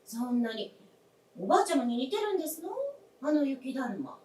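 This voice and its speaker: Heard from far off on an echoey stage, a genteel younger woman of the household in a radio play. Her high voice moves in lively swings, with polite, brisk, feminine phrasing. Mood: polite, attentive. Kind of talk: staged radio drama acting. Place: theatre stage.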